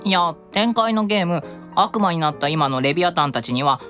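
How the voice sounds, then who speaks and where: A woman's voice: fairly low pitch, lively intonation, brisk, fairly bright tone, faint music behind. A woman voicing an earnest, stubborn little angel boy, childlike and theatrical, in an anime-style audio drama. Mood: stubborn, pleading.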